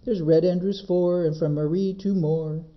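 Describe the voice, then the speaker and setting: A man's voice: sits high, steady tone, normal speed, muffled recording, close to an everyday voice. A man voicing a young goatherd boy in a storybook, urgently calling out to his animals. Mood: counting, singsong.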